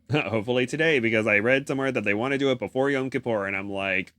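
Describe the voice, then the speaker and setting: A man co-hosting a casual podcast, jokey and rambling, often halting and correcting himself mid-sentence. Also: medium pitch, background noise. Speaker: podcast co-host.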